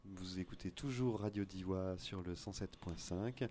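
A man's voice: low-pitched, a little slow, mellow. A man co-hosting a radio show in a studio, speaking hesitantly with many fillers as he wraps up. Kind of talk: casual radio chat.

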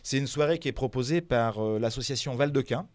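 A man's voice: medium pitch, a little fast. A man whose first language is not French, presenting a cultural program in a radio interview, hesitant with many fillers and restarts.

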